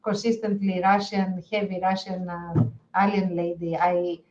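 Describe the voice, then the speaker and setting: A woman's voice: fairly low pitch, little pitch movement, measured pace, a little mellow, highs cut off. A woman co-hosting a podcast, chatting casually in a hesitant, self-deprecating way. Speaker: podcast co-host. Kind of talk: casual chat.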